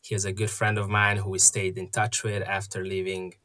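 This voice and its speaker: Low-voiced man. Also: monotone, pushed and bright. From Hungary. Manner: measured, explanatory, frequent uh fillers. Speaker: guest, investment app founder. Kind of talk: podcast interview.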